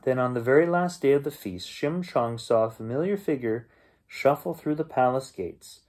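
A middle-aged man reads a children's bedtime story aloud as its host, with expressive storytelling. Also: medium pitch, normal speed. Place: small bedroom at home, indoors.

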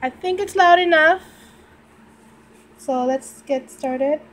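A woman's voice: high voice, slow. A woman in her thirties giving a casual, spontaneous reaction to a film at home, in an emotional, exclaiming manner. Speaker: reaction video host. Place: small room at home, indoors. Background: film soundtrack music.

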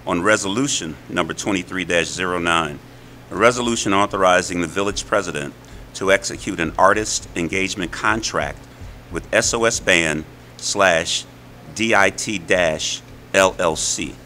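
A man's voice: low voice, moderate pace, sharp tone, a little hiss or hum. A man serving as a village board trustee, speaking formally at a board meeting. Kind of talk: formal reading of motions.

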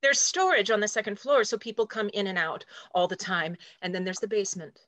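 A woman's voice: mid-range voice, fairly quick, a bit bright, dry recording, natural voice. A woman playing a prim, territorial junior executive assistant in a stage play reading, with a precise, officious, matter-of-fact delivery. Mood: prim, stern. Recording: dry video call.

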